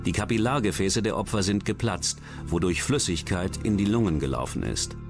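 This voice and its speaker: Low-voiced man narrating a documentary over music. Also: expressive, moderate pace, vocal fry. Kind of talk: scripted true-crime documentary narration. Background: background music.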